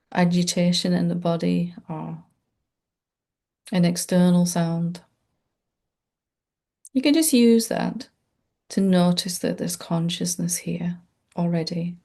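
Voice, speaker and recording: Slow, low-voiced woman guiding meditation. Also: creaky, dark tone. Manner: soothing, unhurried, informal, reassuring. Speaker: meditation teacher. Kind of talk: gentle guided meditation, unscripted.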